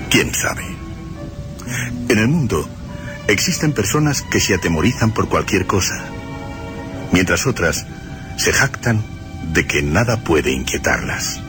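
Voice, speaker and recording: A man tells a suspense story in a low voice. His pace is slow and his intonation swings widely, with weighted rhetorical pauses. Music is clearly audible behind him. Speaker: narrator of suspense stories. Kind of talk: dramatic scripted suspense narration.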